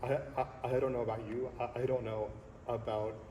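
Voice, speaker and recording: A man in his thirties, a songleader talking earnestly and reflectively as a guest in a casual interview, pausing often to find his words. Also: medium pitch, little pitch movement, dark tone. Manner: earnest, reflective, halting with fillers. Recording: echoey hall with faint noise.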